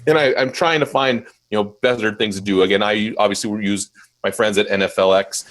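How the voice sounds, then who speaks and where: A man who runs a mobile DJ company, chatting in a casual live interview and telling stories with lively jumps in his voice. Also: on the low side, fairly quick. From Minnesota, USA. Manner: animated storyteller, voice jumps up when quoting.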